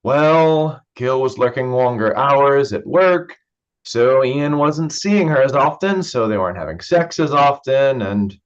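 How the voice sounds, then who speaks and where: A man's voice: mid-range voice, lively intonation, unhurried, clear, resonant tone, natural voice. A man acts out an evasive young man in a stage play, a joking brother covering for a secret drinking habit. The delivery is naturalistic and conversational, and the sound is dull and lo-fi, as if over a video call. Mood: subdued, guarded. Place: remote online staged reading.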